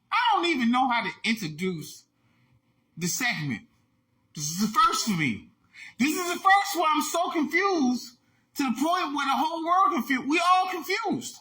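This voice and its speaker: An online host with a bright, edgy voice delivers fired-up commentary in a short social video. His pitch leaps around constantly, and he keeps circling back to the same lines before breaking into shouts. Soft music plays faintly underneath. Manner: emphatic, repetitive, bursts into shouting. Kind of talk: animated social media commentary.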